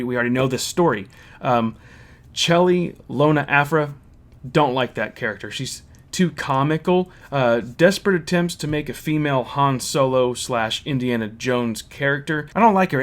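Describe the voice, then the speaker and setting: A man with a mid-range voice reviews and recaps a story in a casual, conversational way. He is blunt and critical, stating his opinions plainly. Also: medium pitch.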